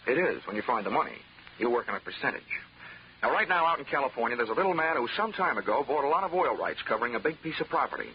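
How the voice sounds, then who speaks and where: An American actor playing a wry, hard-boiled investigator in a 1940s radio drama, full of dry wisecracks. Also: male voice, mid-range voice, very animated, fast talker, a little hiss or hum. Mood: smooth pitch. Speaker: detective narrator and lead character. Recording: dull 1940s radio recording with music.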